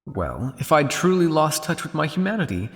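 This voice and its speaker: Man voicing a morbid male vampire, ASMR roleplay, teasing drawl, swooping intonation, music behind, theatrical pauses. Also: on the high side, very animated, fairly bright tone, long reverb tail. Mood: cynical, condescending.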